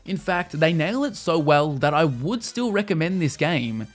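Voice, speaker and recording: A man reading a scripted video game review in a measured, explanatory and opinionated way. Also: a little high, lively intonation. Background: music under the voice.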